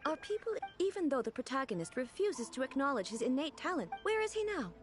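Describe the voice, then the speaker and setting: A woman's voice: high-pitched, big pitch swings, fast talker, soft background music. A woman playing a dignified, aloof female ruler in an anime-style video game, speaking formally and earnestly. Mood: earnest, intrigued.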